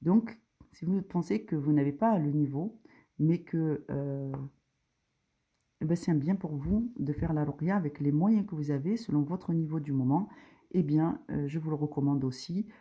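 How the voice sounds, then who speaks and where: A woman's voice: sits low, normal speed, slightly rough, muffled recording. A woman giving an informal religious lesson, explaining step by step with frequent hesitations. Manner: hesitant, many fillers, rising emphasis on key points. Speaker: religious teacher. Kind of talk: informal tutorial explaining a diagram.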